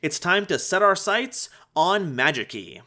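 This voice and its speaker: Male explainer host, high-pitched and very lively, playful emphasis, music underneath. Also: measured pace, noisy background. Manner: animated, playful, emphatic delivery. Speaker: host of a card game analysis video. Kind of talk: scripted explainer narration with jokes. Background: background music.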